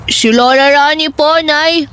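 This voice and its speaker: A woman's voice: high-pitched, fairly even intonation, slow. A woman voicing a sweet, dutiful young schoolboy in a Tagalog radio drama, polite and childlike. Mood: sweet, innocent.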